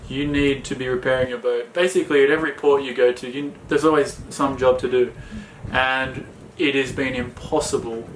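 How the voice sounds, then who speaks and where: An Australian man co-hosting a sailing chat, talking in a relaxed, plain way with many fillers. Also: mid-range voice, normal speed, roomy sound, a little hiss or hum, rough recording. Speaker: sailing vlog co-host. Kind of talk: casual Q&A chat.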